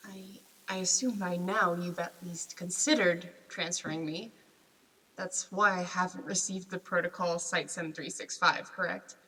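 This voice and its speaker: A woman playing a desperate stranded scientist in a radio drama, halting and pleading as she logs a transmission. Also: on the low side, pushed and bright, slight background noise. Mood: tense, formal. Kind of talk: radio drama acting, logged transmission monologue. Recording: echoey, distant, long reverb.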